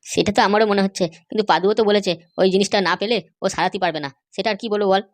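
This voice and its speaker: A woman's voice: mid-range voice, rapid-fire, dry recording, close to an everyday voice. A woman voicing a blunt, sensible older-brother type of man, firm and dismissive, in a comedy cartoon. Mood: matter-of-fact.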